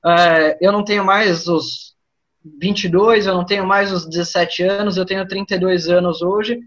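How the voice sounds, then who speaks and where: A man's voice: high-pitched, steady tone, normal speed, fairly bright tone, dull audio. A man in his thirties, a podcast guest, speaking thoughtfully and hesitantly, with many fillers. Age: 30s. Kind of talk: reflective casual conversation.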